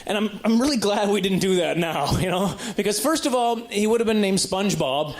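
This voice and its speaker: A man's voice: high-pitched, expressive, fairly quick. A man doing conversational stand-up comedy, rambling and stumbling over words as he tells stories in a live recording. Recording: live stand-up recording with clear background noise.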